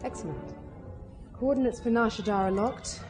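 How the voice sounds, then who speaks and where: A woman's voice: a little high, normal speed, music in the background, lightly acted. A young woman playing a self-assured military pilot in a video game, formal, composed and dry. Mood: wary, dry. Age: young adult. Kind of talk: video game cutscene acting.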